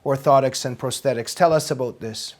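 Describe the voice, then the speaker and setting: A man hosting an interview, asking questions in a halting way and restarting often. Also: medium pitch. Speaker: host. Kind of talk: interview host.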